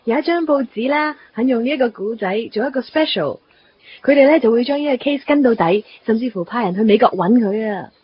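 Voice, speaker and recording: A woman playing a brisk, boastful young career woman in a Hong Kong Cantonese radio drama. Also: a little high, a little hiss or hum, lightly acted. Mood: excited, busy. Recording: muffled, music underneath.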